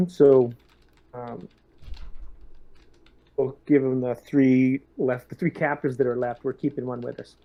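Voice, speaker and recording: A man's voice: mid-range voice, flat delivery, unhurried, pauses often. A man playing a tabletop role-playing game, talking through plans and questions with hesitant phrasing. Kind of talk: in-game planning talk. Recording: dull, muffled microphone.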